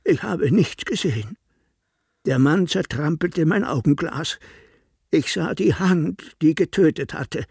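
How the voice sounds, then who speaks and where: An actor playing a guilt-ridden village priest, confessing haltingly and solemnly in a radio drama, with a husky, gravelly voice. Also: male voice, on the high side, animated, darkish tone, no room sound, studio quality, exaggerated voice. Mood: anguished. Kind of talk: slow, literary radio drama monologue.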